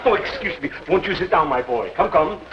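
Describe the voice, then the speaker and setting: A fussy, chuckling dentist with a thick German accent in a vintage comedy sketch. He talks very high, and his bossy orders swing up and down. The sound is dull and lo-fi, with a steady hiss under it. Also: brisk. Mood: coaxing. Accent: German, put on. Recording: dull lo-fi old recording with hiss.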